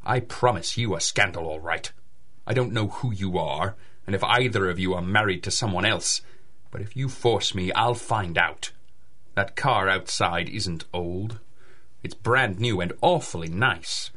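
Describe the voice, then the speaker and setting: A man's voice: sits low, moderate pace, pushed and bright. A man playing a smug, threatening scientist in a 1930s-style radio drama, with a sly, insinuating delivery. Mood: menacing. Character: smug, threatening male laboratory scientist, a suspicious rival.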